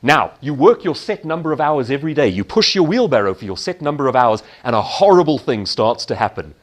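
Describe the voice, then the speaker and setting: A man's voice: mid-range voice, very animated, brisk. A man giving a motivational keynote talk in an emphatic manner, posing questions and answering them himself. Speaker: keynote speaker.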